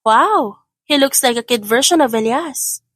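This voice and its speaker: A woman's voice: a little high, animated, a little slow, fairly bright tone, breathy edge, no room sound, studio quality, exaggerated voice. A woman voicing a smug female rival in an audio drama, taunting with mocking false sweetness. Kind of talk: Tagalog audio drama acting.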